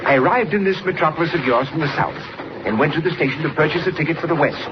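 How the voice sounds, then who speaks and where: An actor playing an excitable, fussy old photographer and amateur detective, effusive and pompous, in a noisy 1940s radio drama. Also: male voice, a little high, fast talker, natural voice. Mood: pompous, indignant. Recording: noisy, dull vintage radio recording.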